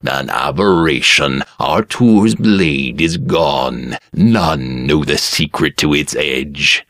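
A man voicing a cruel aristocratic gentleman who taunts with slow, cheerful menace. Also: rumbling low, no room sound, studio quality, pitched below the performer's own voice, full character voice. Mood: gloating.

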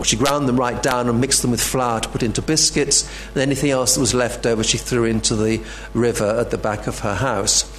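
A man giving an academic lecture, halting and full of fillers and restarts. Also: mid-range voice, slight background noise.

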